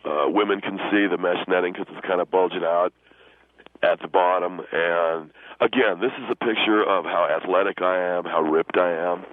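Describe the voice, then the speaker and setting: Man putting on a low-voiced, cocky young ladies' man. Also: fairly even intonation, normal speed, a little hiss or hum, close to an everyday voice. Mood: smug boasting. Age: young adult. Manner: boastful, earnest, hesitant with many uhs. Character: vain, cocky young ladies' man, self-styled beach hunk. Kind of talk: improvised radio call-in comedy. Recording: dull lo-fi radio phone-in sound.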